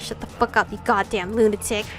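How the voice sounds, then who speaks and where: A woman voicing furious, foul-mouthed male vampires threatening each other in a visual novel, loud and aggressive, over music. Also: medium pitch, fairly even intonation, brisk, close to an everyday voice. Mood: menacing, taunting. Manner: shouted, aggressive.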